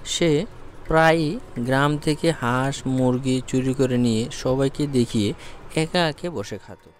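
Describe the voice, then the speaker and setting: A man narrates a cartoon folk tale at a slow, deliberate pace. His pitch is medium, and music plays clearly underneath the scripted storytelling.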